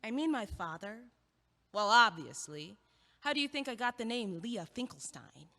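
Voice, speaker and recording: Sharp, blunt young student played by a woman, echoey hall. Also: medium pitch, big pitch swings, moderate pace, creaky, heavily acted. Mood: indignant. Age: young adult. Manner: sardonic, emphatic, outspoken. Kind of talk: stage-style audio play dialogue. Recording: echoey hall with long reverb.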